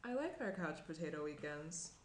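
A woman's voice: low voice, sing-song, normal speed. Reserved young fiancée played by a woman, roomy sound. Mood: subdued, casual. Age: young adult. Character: guilty, reserved young fiancée hiding a secret. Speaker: actor in a screenplay table read. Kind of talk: naturalistic dramatic script reading. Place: small indoor room around a table. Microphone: shared tabletop microphone. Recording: roomy echo, faint room noise.

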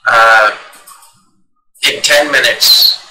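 Slow-talking man in his fifties teaching English. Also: medium pitch, pushed and bright, roomy sound, slight background noise, poor audio. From India. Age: 50s. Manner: patient, repeats and explains. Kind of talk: online English lesson.

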